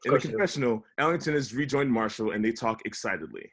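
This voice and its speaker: A man's voice: medium pitch, lively intonation, fast talker, a bit bright, husky. A man reading stage directions aloud as the narrator of a scripted table read.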